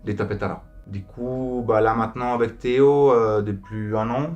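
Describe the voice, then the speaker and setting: A man's voice: lowish voice, steady tone, moderate pace, a little mellow, lo-fi sound. Man over music. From abroad, outside France. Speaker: circus acrobat, company founder. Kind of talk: informal interview about own work.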